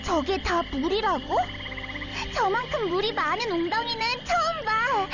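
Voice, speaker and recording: A woman voicing an innocent, energetic, childlike little girl in a Korean game story, full of exclamations. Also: very high voice, unhurried. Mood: wide-eyed wonder. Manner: childlike, exclamatory, speaks of herself by name. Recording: dull sound under loud music.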